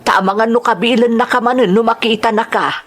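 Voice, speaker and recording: A woman's voice: fairly high pitch, fairly even intonation, brisk, lightly acted. A woman playing a worried, pleading mother of grown sons in a melodramatic audio drama. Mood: worried, soothing. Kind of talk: melodramatic radio-style drama acting.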